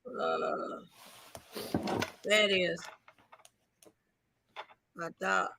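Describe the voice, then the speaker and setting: A woman's voice: fairly low pitch, steady tone, extremely slow, creaky, background noise. A woman hosting a casual live talk show, chatting in a folksy, informal and affectionate way.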